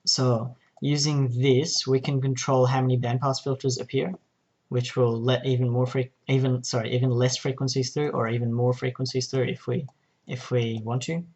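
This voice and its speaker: A man narrating an informal software tutorial, explaining each step in a hesitant way. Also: medium pitch, little pitch movement, moderate pace, dull audio. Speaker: tutorial presenter.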